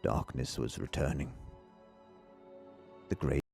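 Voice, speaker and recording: A man with an extremely deep voice narrates a dramatic tale. His delivery is slow, solemn and measured, with a theatrical weight, over faint music. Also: vocal fry, a little mellow. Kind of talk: dramatic scripted audiobook narration.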